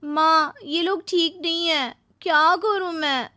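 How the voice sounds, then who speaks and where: Storybook daughter role, female narrator: young wife, very high voice, pleading, dramatic, distressed. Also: fairly even intonation, takes it slowly, bright, forceful tone, dry recording, studio quality, heavily acted. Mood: plaintive complaining. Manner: plaintive, emphatic.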